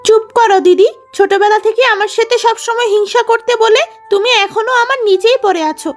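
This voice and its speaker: A woman's voice: very high-pitched, steady tone, a little fast, soft background music. A woman voicing a spoiled, spiteful young second wife in a cartoon story, haughty, scolding and whining. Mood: haughty, scornful. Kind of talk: melodramatic cartoon story acting.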